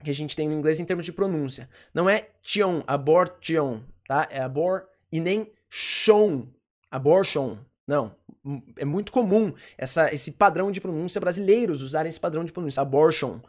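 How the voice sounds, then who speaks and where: A teacher, talking to camera in an English lesson and repeating words slowly to drill pronunciation. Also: mid-range voice, darkish tone. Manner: explains in quick asides, then stresses English words. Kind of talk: online English lesson for Portuguese speakers.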